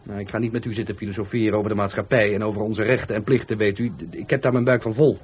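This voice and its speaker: An actor with a Netherlands Dutch accent playing a touchy, defiant law-school dropout in a radio play, by turns hesitant and scornful. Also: male voice, lowish voice, fairly even intonation, fast talker, slight background noise, close to an everyday voice. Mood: scornful, irritated. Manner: hesitant, then scornful and argumentative. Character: defiant, touchy young male law-school dropout, murder victim's helper.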